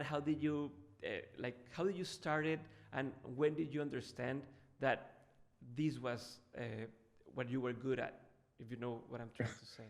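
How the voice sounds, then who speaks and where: A man hosting a podcast interview over a remote call, forming his questions hesitantly with many fillers and repeats. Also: medium pitch. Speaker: podcast host.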